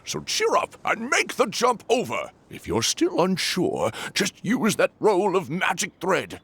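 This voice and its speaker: Gravelly, teasing, fiery frog. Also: male voice, high-pitched, huge pitch swings, unhurried, fairly bright tone, full character voice. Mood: encouraging. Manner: teasing, theatrical. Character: brash, teasing, fiery male paper frog friend. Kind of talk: video game voice acting.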